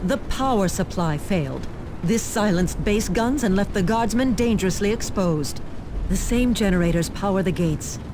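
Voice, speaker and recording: A woman's voice: mid-range voice, expressive, normal speed, background noise, close to an everyday voice. A woman playing an earnest, formal planetary official under siege in a science fiction game, speaking precisely. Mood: urgent. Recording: clear music behind the voice. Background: game music.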